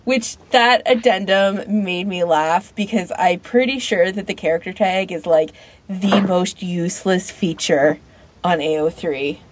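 A woman's voice: on the low side, a little slow, slight background noise. A woman co-hosting a podcast in casual chat, informal and opinionated, often peppering her sentences with "like". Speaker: podcast co-host.

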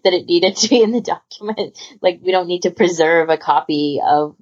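A woman's voice: lowish voice, normal speed, a little mellow. A woman chatting casually as a podcast co-host, in an emphatic, rambling way. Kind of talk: casual chat. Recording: dull lo-fi voice recording.

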